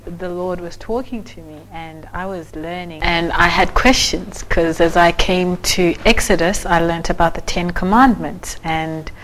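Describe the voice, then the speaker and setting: A young adult woman from Zimbabwe telling her personal faith story in a soft-spoken, casual way, with many fillers and restarts. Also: fairly low pitch, fairly even intonation, a little slow, hardly any pauses, low-quality recording. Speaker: church member sharing her story. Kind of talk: personal testimony.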